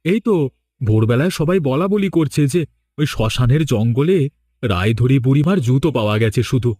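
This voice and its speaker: A man's voice: mid-range voice, animated, normal speed, dark tone, breathy edge. A man playing a protective, suspicious village father in a horror cartoon, insistent and dramatic.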